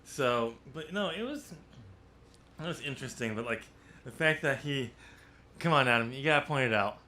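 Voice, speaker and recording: A man hosting a podcast in casual, unscripted discussion, talking in a halting, rambling way as he thinks aloud. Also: mid-range voice, animated, sharp tone. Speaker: podcast host and amateur novelist.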